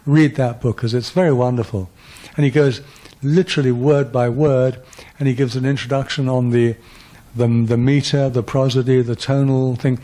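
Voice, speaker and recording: A man's voice: mid-range voice, fairly even intonation, moderate pace, hardly any pauses, warm tone. A man in his seventies, a guest lecturer answering audience questions in a wry, rambling, self-deprecating way. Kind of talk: conversational lecture Q&A.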